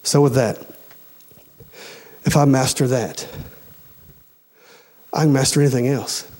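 A man's voice: medium pitch, fairly even intonation, a little slow, a little mellow. A man in his forties preaching a sermon in a folksy, emphatic way, repeating phrases and addressing the congregation directly. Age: 40s. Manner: addresses listeners directly with questions and urgings.

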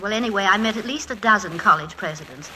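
A woman's voice: medium pitch, fast, sharp tone, close to an everyday voice. A woman playing a devoted, playful, slightly naive wife, theatrical and affectionate in 1940s radio comedy-drama style. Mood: loyal, playful. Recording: faintly noisy 1940s radio recording.

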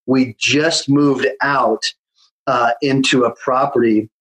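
A man with a medium-pitched voice, speaking in a relaxed conversational way. He tells his story with frequent "uh"s and restarts, as a guest in an interview. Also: takes it slowly, low-quality recording. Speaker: guest, children's home founder.

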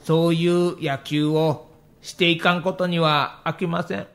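High-voiced, weary Kansai baseball manager. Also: male voice, level tone, a little slow, fairly bright tone. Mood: resigned, reflective. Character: weary middle-aged baseball manager, humble, self-reproachful. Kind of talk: acted monologue in audio documentary.

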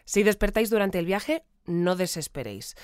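A woman's voice: fairly low pitch, lively intonation, moderate pace, smooth, no room sound, close to an everyday voice. Woman as a composed radio newsreader, dry studio. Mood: calm, official. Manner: formal, composed bulletin delivery. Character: composed female radio newsreader of strange town. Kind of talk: scripted news bulletin in audio fiction. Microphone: close studio mic. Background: music.

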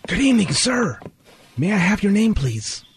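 A railway station attendant in an old-time radio play, with a high voice that swings up and down. He is formal, courteous and fussily concerned, pressing his point politely. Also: big pitch swings, normal speed, slight background noise. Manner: formal, polite service address. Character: courteous, fussy male train station attendant, concerned and persistent.

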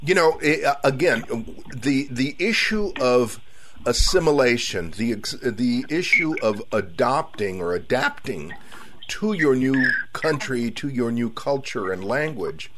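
A man from South Texas hosting a radio talk show interview, speaking slowly and hesitantly with many fillers. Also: medium pitch, expressive, noisy background.

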